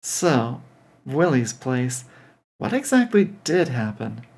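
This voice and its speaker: A confident young female demon slayer, read by a man, in an audio story. The lines are flippant and teasing, delivered with sarcasm at a middle pitch. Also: very animated, slow, a little mellow, heavily acted. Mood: breezy.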